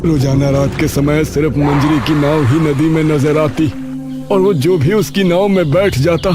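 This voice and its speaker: A man's voice: fairly high pitch, fairly even intonation, unhurried, lightly acted. A man voicing a grave village headman recounting a haunting in a horror story, over music.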